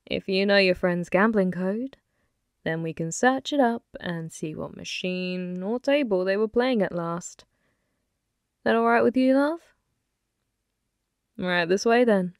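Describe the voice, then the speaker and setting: A woman playing a flirty, possessive, taunting female demon in an ASMR roleplay, slow and intimate, often slipping toward a whisper. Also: mid-range voice, no room sound, studio quality, lightly acted. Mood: inviting, helpful.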